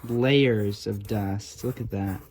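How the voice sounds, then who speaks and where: A man's voice: fairly low pitch, steady tone, slow pace, a little mellow. A man giving casual, off-the-cuff hobbyist commentary at a workbench, with wry, sarcastic asides muttered along the way. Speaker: hobbyist restorer and video host.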